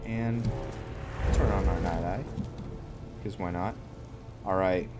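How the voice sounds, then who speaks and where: A man with a fairly low voice narrates his roleplay game in a casual way. He speaks very slowly, musing aloud in short phrases. Loud music plays behind him. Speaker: gamer narrating own roleplay playthrough. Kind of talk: casual let's play commentary.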